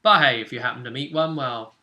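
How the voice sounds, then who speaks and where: A man's voice: mid-range voice, fast, bright, forceful tone, dull audio. A man hosting as game master, casually explaining a tabletop role-play session in loosely phrased speech with asides.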